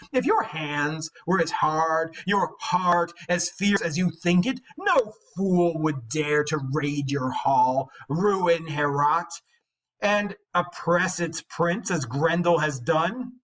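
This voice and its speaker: A man's voice: a little high, lively intonation, a bit bright, low-quality recording. Man reading as a boastful, declaiming warrior hero. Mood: scornful, defiant. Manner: declamatory, drawn-out emphasis. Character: boastful young male warrior hero, scornful and defiant. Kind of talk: dramatic reading of epic poetry.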